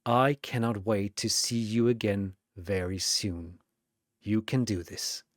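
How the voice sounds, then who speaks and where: Man playing a suave, slow-talking teasing vampire. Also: fairly low pitch, no room sound, studio quality, pitched below the performer's own voice. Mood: soft, tender. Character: suave teasing centuries-old male vampire, secretly tender.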